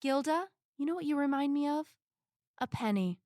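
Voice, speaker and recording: A woman's voice: sits high, lively intonation, normal speed, darkish tone, dry recording. Mouthy, defiant woman acted, dry close sound. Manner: sarcastic, mouthy, casual. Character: defiant, wisecracking female fugitive held captive. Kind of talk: audio drama acting.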